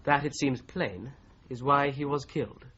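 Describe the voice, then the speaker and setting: Precise English shrewd investigating solicitor. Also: male voice, mid-range voice, fairly bright tone, husky. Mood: firm, pressing. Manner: precise, measured, probing. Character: shrewd, methodical male solicitor turned amateur investigator. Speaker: actor in a radio drama. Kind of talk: 1980s radio drama acting. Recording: slightly dull 1980s radio recording.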